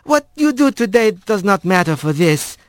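A man voicing a gentle young male squirrel musician who speaks softly in simple phrases. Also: high-pitched, very animated, moderate pace, dry recording, clean studio sound, pitched above the performer's own voice. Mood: reassuring.

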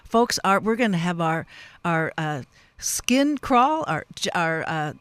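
A middle-aged or older American man hosts a radio show with a fairly high voice for a man. His delivery rambles and halts, with folksy asides to the listeners. The sound is dry and close, as on a studio microphone. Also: very animated, slow, a bit bright, smooth, no echo. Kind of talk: live campus radio interview and sign-off.

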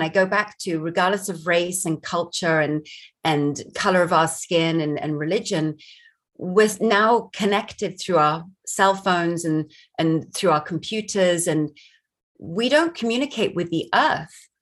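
Husky low-voiced woman from Barbados. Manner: earnest, reflective, spiritual. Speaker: film director, guest. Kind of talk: interview conversation.

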